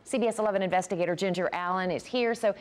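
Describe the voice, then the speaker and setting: A woman's voice: medium pitch, very fast. A woman anchoring a television newscast, introducing reports and putting questions to a reporter. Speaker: TV news anchor.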